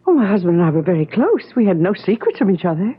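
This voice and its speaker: A woman's voice: mid-range voice, sing-song, quick pace, breathy edge. An actress playing an anxious, bewildered wife of a missing man in old-time radio drama style, earnestly pressing with questions. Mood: pleading, earnest. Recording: muffled vintage radio broadcast.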